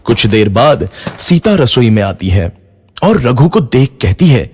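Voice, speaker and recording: A man narrating a children's cartoon story in a lively, expressive way. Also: medium pitch, unhurried, slight background noise.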